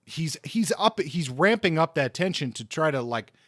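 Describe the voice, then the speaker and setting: A man's voice: on the high side, expressive, moderate pace, no room sound, clean studio sound. A man co-hosting a book podcast in casual discussion, thinking aloud and often rewording as he goes. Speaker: podcast co-host.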